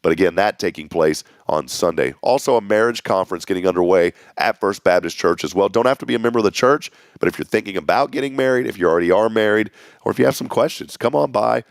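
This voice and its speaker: Man, hoarse, low voice, folksy community announcements, dry close mic. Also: fast talker, no room sound. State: losing his voice. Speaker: morning show host. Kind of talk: local morning show announcements. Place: small local TV studio.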